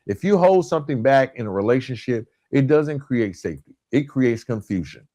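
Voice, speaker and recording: A man hosting a podcast in a motivational coaching style, emphatic and deliberate, repeating key points and dropping in colloquial asides. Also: medium pitch, lively intonation, mellow, no room sound. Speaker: podcast host. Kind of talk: motivational relationship coaching talk.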